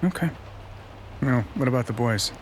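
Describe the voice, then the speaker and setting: A man's voice: mid-range voice, normal speed, a little hiss or hum, close to an everyday voice, low-quality recording. A man playing an affectionate, apologetic husband in an audio horror drama. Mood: apologetic, grateful. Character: affectionate, apologetic husband home late from work.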